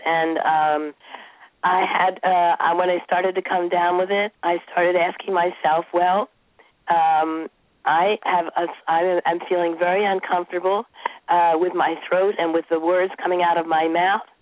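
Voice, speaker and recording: A woman being interviewed as a guest, her voice croaky from a sore throat. She speaks reflectively and restarts her sentences often. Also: lowish voice, flat delivery, unhurried, no echo. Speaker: guest author. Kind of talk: interview talk. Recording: tinny phone line.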